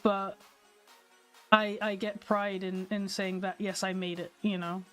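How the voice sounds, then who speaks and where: A woman's voice: mid-range voice, level tone, measured pace, faint music behind. A young adult woman streaming, chatting candidly with her viewers, a little nasal from a cold. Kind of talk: casual stream chat.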